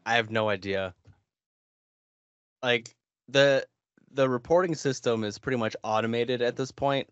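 A man's voice: mid-range voice, fairly even intonation, a little slow, long pauses, a bit bright, smooth, dry recording. A man chatting casually on a gaming podcast, wry and resigned. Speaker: podcast host. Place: small bedroom, indoors. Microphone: headset on a video call. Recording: slightly dull, lo-fi online call.

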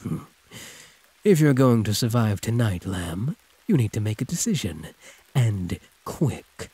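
A man voicing a huge, possessive, teasing werewolf prisoner with a husky, breathy drawl, in an intimate audio roleplay. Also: lowish voice, big pitch swings, measured pace, mellow, full character voice. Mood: urgent, protective. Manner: drawling, teasing pet names, predatory purr.

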